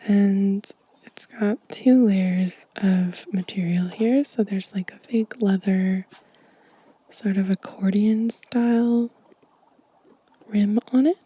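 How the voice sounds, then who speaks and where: Woman, whispered ASMR, breathy, very relaxed and dark tone, gentle, unhurried show and tell. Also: mid-range voice. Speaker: ASMR video host.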